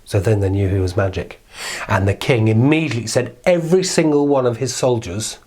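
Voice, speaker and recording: A man's voice: fairly low pitch, lively intonation, brisk, a little mellow. A man telling a children's fairy tale in an informal, improvised, playful way, repeating words for emphasis. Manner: playful, conversational, with emphatic repetition. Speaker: children's storyteller.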